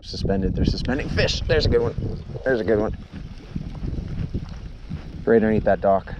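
A man's voice: fairly low pitch, expressive, normal speed, muffled recording. Canadian man vlogging over noisy outdoor sound. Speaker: fishing channel host. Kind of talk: casual fishing vlog chat. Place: outdoors in a canoe on a lake. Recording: noisy outdoor action camera recording, clicks.

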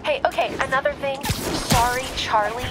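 An actress playing a fed-up young woman ex-girlfriend who talks sharp and sarcastic. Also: sits high, normal speed, natural voice. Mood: annoyed. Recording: loud music score behind.

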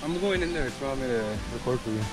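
A man's voice: mid-range voice, expressive, over music, low-quality recording. A young man hiking with a friend along a creek, joking and swearing in easy slang. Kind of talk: casual chat. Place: outdoors on a wooded creek trail. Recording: loud rushing stream behind.

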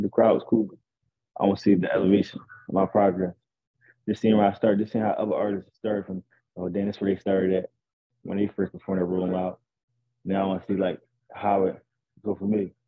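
Young male rapper guest, deep gravelly voice, laid-back and boastful, heard over a thin call line. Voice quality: deep raspy voice. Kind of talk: casual interview. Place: indoors at home. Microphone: video call. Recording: tinny video call audio.

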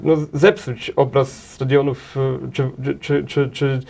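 A man in his thirties answering questions in a studio interview, talking in halting runs with many restarts and repeated words, recorded on a table mic in a small TV studio. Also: medium pitch, flat delivery, gravelly, a little mellow. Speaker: interview guest, head of a transport association.

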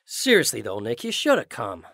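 An actor playing a boisterous, laddish young college student in a radio drama. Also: male voice, medium pitch, wildly swooping pitch, normal speed, creaky, fairly bright tone, no room sound, clean studio sound, heavily acted. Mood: coaxing. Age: young adult.

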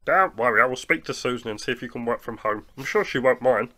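A man voicing a blunt, selfish grown man in an animated cartoon, speaking in a brash, dismissive way. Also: mid-range voice, fast, lightly acted. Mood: offhand.

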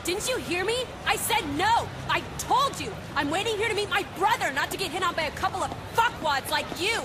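Fiery, high-pitched tough young woman. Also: animated, fairly quick. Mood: furious, defiant. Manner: loud, defiant. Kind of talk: anime dub acting. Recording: loud music under the voice.

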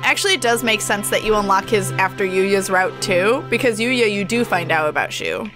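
A woman's voice: medium pitch, normal speed. A woman co-hosting a let's play, commenting in a casual, giggly way. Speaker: game streamer, co-reader. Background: game music.

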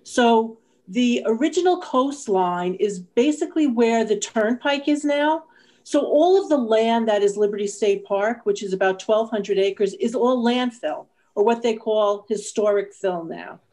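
A woman's voice: fairly high pitch, unhurried, warm tone. A woman who works as a park interpreter gives an informal historical explanation on a video call. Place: indoors at home, video call. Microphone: video call microphone.

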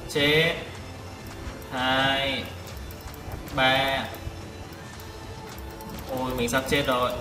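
A man giving live gameplay commentary, exclaiming and repeating short phrases as he plays. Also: a little high. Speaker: gaming vlogger playing a tank game. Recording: distant mic, echoey reverberant room. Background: loud game music.

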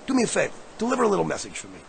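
A man's voice: high-pitched, huge pitch swings, very fast, gravelly, noisy background, heavily acted. A man acting in a radio drama as a brash, confused, hungover New Yorker, stammering and sarcastic. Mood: agitated.

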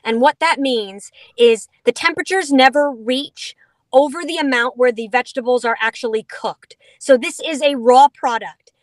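A woman's voice: high-pitched, moderate pace, no room sound. A middle-aged woman in a vehicle on a video call, enthusiastically explaining a dog food product with playful asides. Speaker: dog food company representative. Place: inside a vehicle.

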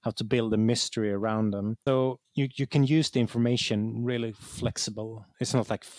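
Halting man explaining, close dry sound. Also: fairly low pitch, fairly even intonation, a little mellow, no echo. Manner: halting, searching for words, self-correcting. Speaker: guest, game writer. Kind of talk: conversational interview explanation.

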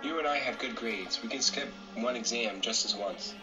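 A man's voice: mid-range voice, moderate pace, natural voice. A man voicing a nerdy, driven teenage boy genius in a parody cartoon dub, earnest and bossy. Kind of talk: fan abridged parody dub. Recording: muffled, distant mic with loud music.

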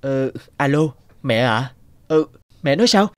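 A man's voice: medium pitch, big pitch swings, unhurried, clean tone. An actor playing an earnest, dutiful young man, a boyfriend and son, in a cartoon drama. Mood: worried. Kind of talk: animated drama voice acting.

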